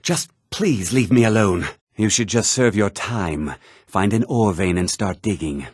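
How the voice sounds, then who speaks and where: Brooding, husky prisoner. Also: male voice, fairly low pitch, expressive, a little slow, no echo, clean studio sound. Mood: weary, brooding. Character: weary, brooding imprisoned murderer in a mine. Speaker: video game voice actor. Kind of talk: fantasy role-playing game dialogue.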